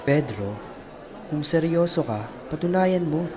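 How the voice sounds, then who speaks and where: A man's voice: on the high side, animated, unhurried. Blunt village father over music man acting. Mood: stern. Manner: blunt, challenging. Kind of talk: student radio drama acting.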